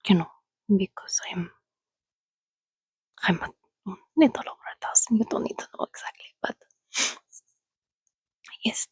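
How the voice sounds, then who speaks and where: A woman voicing an ancient female vampire in a soft-spoken, breathy ASMR roleplay, coaxing and gently teasing as she hosts a listener. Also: a little high, no echo, heavily acted, rough recording. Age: over a thousand years old, as played. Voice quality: breathy, whispery. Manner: slow, coaxing, conversational with pauses.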